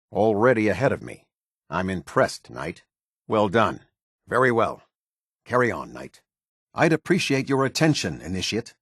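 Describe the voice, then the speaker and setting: Strict american military captain for a video game, husky, formal, lots of pitch movement, really dry close sound. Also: male voice, dry recording, full character voice. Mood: formal approval. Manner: clipped, authoritative, measured military formality. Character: stern, formal male military officer of a post-apocalyptic order. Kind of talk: video game character dialogue.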